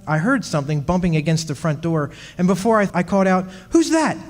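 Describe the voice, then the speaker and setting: An actor playing a wry, centuries-old henpecked husband of a witch in a radio play, telling his story in measured dramatic style. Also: high-pitched, sing-song, background noise. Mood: wry, reminiscing. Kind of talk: dramatic first-person radio play narration. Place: stage performance in a community club hall. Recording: echoey hall, music behind.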